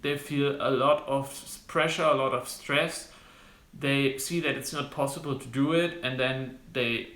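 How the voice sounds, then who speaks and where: A young man from Germany with a German accent, giving casual advice to camera as a medical student, halting with frequent restarts. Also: medium pitch, fairly even intonation, fairly bright tone. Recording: roomy, echoey room sound.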